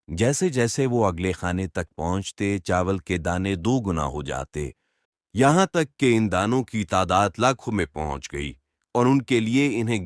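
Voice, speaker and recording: A man narrating scripted children's stories as an expressive storyteller. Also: sits low, no echo, clean studio sound.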